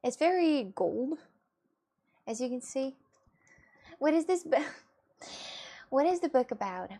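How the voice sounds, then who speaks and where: Young streamer, woman, slightly high voice, halting chatty English with lots of fillers and laughs. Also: sing-song, mellow. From Russia. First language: Russian. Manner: hesitant, halting English with many fillers and giggles. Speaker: livestreamer. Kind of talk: casual live-stream chat with viewers.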